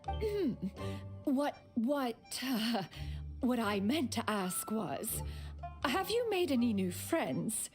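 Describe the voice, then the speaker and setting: High, theatrical, pompous immortal mistress. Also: female voice, big pitch swings, takes it slowly, music in the background. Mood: flustered, solicitous. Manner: pompous, archaic formal speech. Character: haughty, scheming immortal mistress, stiffly formal. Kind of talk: video game character dialogue.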